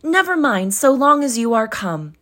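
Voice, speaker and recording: A woman voicing a warm, fussing Victorian mother who scolds and coddles her family. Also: on the high side, very animated, normal speed, pitched above the performer's own voice. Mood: fond.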